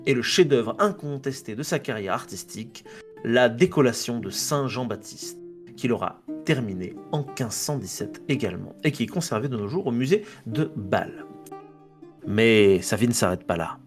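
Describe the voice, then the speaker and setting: A man's voice: medium pitch, expressive, moderate pace. French guy reading history notes on a chill podcast, trips over words and laughs at himself, faint guitar music underneath. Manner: reads a text, stumbles on names, jokes and swears at himself. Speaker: podcast co-host presenting a history segment. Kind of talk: casual history podcast narration read from notes.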